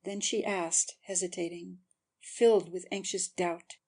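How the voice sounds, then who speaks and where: A woman's voice: lowish voice, fairly even intonation, unhurried, a little mellow, slightly rough. A woman narrator giving a scripted, dramatic audiobook reading.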